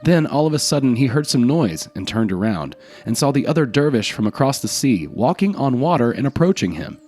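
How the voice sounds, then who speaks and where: A man's voice: medium pitch, sing-song, brisk, a little mellow. A man narrating scripted folk tales as a storyteller.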